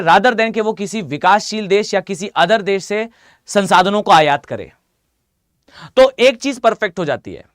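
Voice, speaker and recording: A hoarse man with an Indian accent teaching a live online class, often asking students to reply. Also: high voice, fairly bright tone, dry recording, clean studio sound. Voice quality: mixes Hindi with English phrases. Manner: addresses students directly, asks them to reply.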